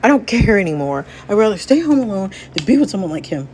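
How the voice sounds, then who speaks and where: A woman's voice: mid-range voice, very animated, fast, darkish tone, a little hiss or hum, lightly acted. A woman voicing a stubborn, hot-tempered young woman with a wounded heart in a romance story read-aloud. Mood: bitter.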